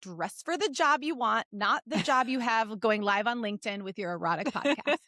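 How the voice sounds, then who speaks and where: A woman's voice: on the high side, expressive, moderate pace, pushed and bright, dry recording, studio quality. A woman co-hosting a comedy podcast, chatting casually and reading fan fiction aloud in a playful, bawdy, giggly way. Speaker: podcast co-host.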